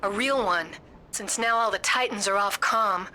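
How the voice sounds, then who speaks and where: A woman's voice: mid-range voice, moderate pace, sharp tone, a little hiss or hum, lightly acted, rough recording. A woman playing a grave, worried mission adviser in scripted video game dialogue. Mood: grave concern.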